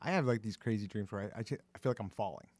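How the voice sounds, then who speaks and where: A man co-hosting a casual chat, talking in a quick, expressive way and laughing often. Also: fairly low pitch, no echo. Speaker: host.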